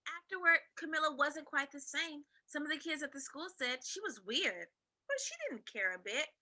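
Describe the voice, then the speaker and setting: Female read-aloud narrator for young kids; high-pitched, animated, expressive storybook delivery. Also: moderate pace.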